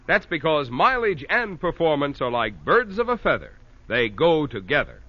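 A man's voice: a little high, wildly swooping pitch, normal speed. A man announcing a 1940s radio advertisement in polished salesman patter. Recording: muffled old radio broadcast.

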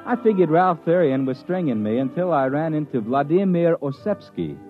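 An actor playing a sardonic, slangy disc jockey telling his story in an old-time radio drama, with drawn-out hesitations. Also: a little high, expressive, soft background music, close to an everyday voice. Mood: wry storytelling. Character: wry, hip, cash-strapped radio disc jockey narrator. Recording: dull vintage radio recording.

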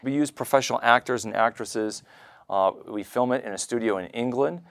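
A man's voice: medium pitch, fairly quick, no room sound. A man hosting a conversational interview podcast, leaning on and repeating words for emphasis. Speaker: podcast host.

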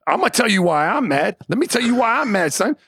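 A man's voice: high-pitched, very animated, fast talker, creaky, a bit bright, husky, dry recording, studio quality. A man in a combative, dismissive sports argument, pushing back on the other guest. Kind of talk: heated sports rant.

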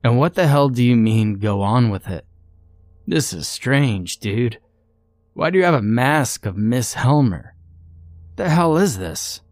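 A man's voice: lowish voice, takes it slowly, a little mellow, breathy edge, no room sound, full character voice. A man voicing a bewildered, indignant male captive in a horror story, blunt and slangy. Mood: alarmed disbelief.

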